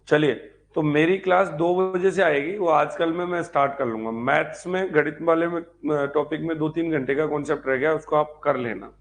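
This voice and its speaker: A man's voice: fairly high pitch, normal speed. A man giving an informal online history lecture as a teacher, repeating key points and asking check questions. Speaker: history teacher for exam preparation.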